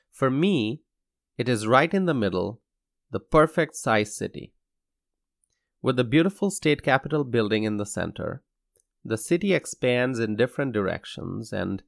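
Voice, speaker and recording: A young man from Bangladesh hosting his own podcast, speaking in an earnest, measured scripted monologue. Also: fairly low pitch, gravelly, dry recording, clean studio sound. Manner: measured, earnest, slow deliberate delivery. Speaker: podcast host.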